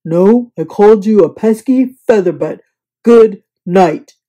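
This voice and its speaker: A woman voicing a big, sleepy, grumpy male bear in a children's story, growly and drawn-out. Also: mid-range voice, dark tone, dull audio. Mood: irritated, roaring. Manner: slow, drawn-out, grumbling.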